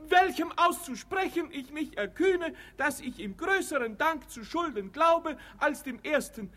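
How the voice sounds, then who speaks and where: Very high-voiced, pompous young simpleton. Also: male voice, animated, a bit bright, full character voice. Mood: stilted, nervous. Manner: stilted recitation, halting, stumbling over memorised lines. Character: dim, pompous young doctor's son reciting learned speeches. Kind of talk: comic radio play acting.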